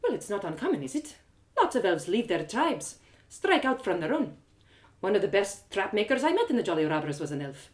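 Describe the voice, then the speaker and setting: A frank, worldly ex-thief woman from a fantasy audio drama, acted out by a woman reader. She talks in a knowing, probing way, with pitch that swings freely around a medium register. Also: sing-song, fairly quick, lightly acted. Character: frank, inquisitive former woman thief, hardened village storyteller.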